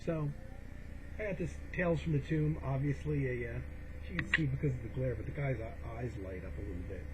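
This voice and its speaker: A man's voice: mid-range voice, brisk. A middle-aged man chatting casually and unscripted as he shows things to viewers, rambling and hesitant, often backtracking. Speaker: video host showing a personal CD collection. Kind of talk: casual unscripted show-and-tell chat. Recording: noisy home video recording.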